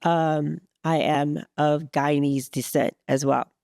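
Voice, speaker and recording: A woman from Suriname co-hosting a podcast in casual chat, affirming and measured. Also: sits low, fairly even intonation, creaky, darkish tone, dry recording, clean studio sound. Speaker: podcast co-host.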